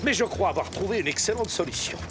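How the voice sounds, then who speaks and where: A man's voice: high-pitched, lively intonation, fast talker, music in the background. A man playing a smug, scheming businessman in a cartoon, speaking in a suave, condescending way. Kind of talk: animated cartoon voice acting.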